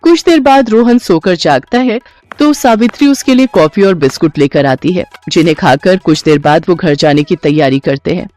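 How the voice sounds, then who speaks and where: A man's voice: high voice, a little mellow, no room sound. A man narrating a scripted moral story, with music playing behind him. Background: background music.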